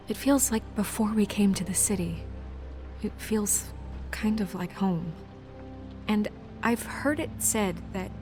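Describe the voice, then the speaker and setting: A woman's voice: medium pitch, natural voice. A woman voicing an earnest, businesslike office administrator in a video game, with naturalistic, hesitant phrasing. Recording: faint score under the voice.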